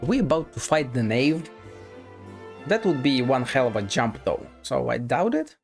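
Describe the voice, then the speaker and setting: A man gives a casual running reaction to a story game. He talks off the cuff and swears freely, at a middling pitch, with music from the game behind him. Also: very animated, normal speed, creaky. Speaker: gaming streamer.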